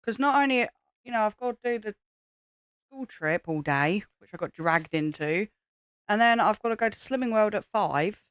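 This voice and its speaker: A woman's voice: medium pitch, animated, normal speed, clean tone, no room sound. Teasing woman co-host. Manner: teasing, mocks her partner. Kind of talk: casual livestream chat.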